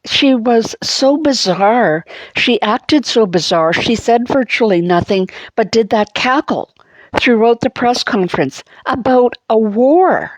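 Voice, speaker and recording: A middle-aged woman with an Anglophone Montreal accent, giving a halting personal monologue full of fillers and restarts. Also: a little high, animated, no echo, poor audio. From Montreal, Canada. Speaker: vlogger.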